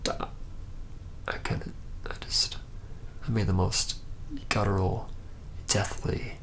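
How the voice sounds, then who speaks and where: A reluctant young male vampire, acted by a man, recounts his past in an intimate ASMR roleplay. His voice is very low and heavily creaky, often dropping into a whisper. He speaks haltingly, with a rueful, haunted air. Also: heavily acted. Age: young adult. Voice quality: breathy whisper mixed with low, gravelly murmur. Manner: halting, hesitant, pausing mid-phrase. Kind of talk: ASMR roleplay, hushed first-person storytelling.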